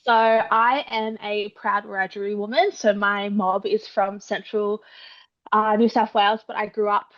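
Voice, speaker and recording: A woman's voice: mid-range voice, little pitch movement, measured pace, a bit bright, highs cut off. A young Australian woman chatting as a guest author in an interview, full of fillers and quoted asides. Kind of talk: casual interview chat.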